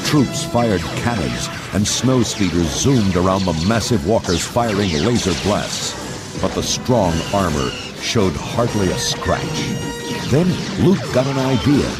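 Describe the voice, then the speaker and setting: A man's voice: lowish voice, big pitch swings, a little slow. A man narrating a scripted storybook read-along in a dramatic storytelling manner. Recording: loud music under narration.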